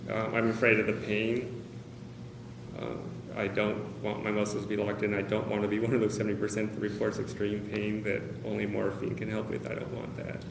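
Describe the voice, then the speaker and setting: Low, gravelly-voiced man pausing often. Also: monotone. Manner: hesitant, many pauses and fillers, candid. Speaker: speaker at a caregivers' meeting. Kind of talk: personal talk to a small group. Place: meeting room with a group of about 45 people. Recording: roomy, noisy meeting-room recording.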